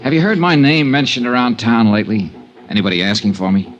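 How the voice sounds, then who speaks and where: An actor playing a wry, world-weary American investigator, sardonic and hard-boiled, narrating and acting in an old-time radio drama. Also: male voice, mid-range voice, lively intonation, fast, lo-fi sound, a little hiss or hum, close to an everyday voice. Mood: probing, guarded.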